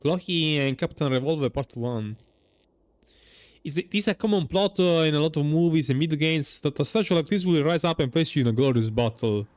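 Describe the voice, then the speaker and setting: A man's voice: on the high side, a little fast, darkish tone, no echo. Man doing casual game commentary. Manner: joking, reacting to the game. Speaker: gaming streamer. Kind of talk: casual let's-play commentary. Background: faint game music.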